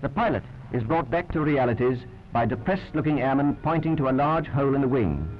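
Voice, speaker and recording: A man's voice: mid-range voice, lively intonation, a little fast, dull audio, slight background noise. A British man narrating a 1940s wartime newsreel in a wry, clipped, understated manner, on an old film soundtrack.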